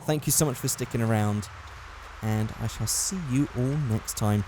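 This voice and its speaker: A man with a hoarse, worn-out voice giving casual commentary over a driving game, getting excitable at close calls. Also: medium pitch, normal speed, noisy background. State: hoarse, losing his voice. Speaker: gaming channel host.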